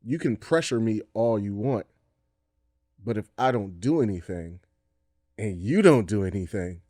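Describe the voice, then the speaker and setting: Podcast co-host: man, low voice, reflective and deliberate, dry close sound. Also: expressive, warm tone, dry recording. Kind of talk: casual chat.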